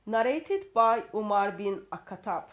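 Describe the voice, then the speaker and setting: A woman's voice: mid-range voice, expressive, unhurried, lo-fi sound. Woman, hesitant speech. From Turkey. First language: Turkish. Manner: hesitant, with many fillers and restarts, reading out references. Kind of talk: conversational discussion.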